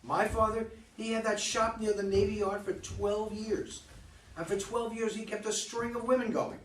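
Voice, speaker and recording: A man's voice: sits high, little pitch movement, moderate pace, lightly acted. A man in a play reading, playing an earnest, disillusioned man explaining himself to the woman he left. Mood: bitter, agitated. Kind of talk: dramatic stage play reading. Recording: roomy, distant microphone, faint noise.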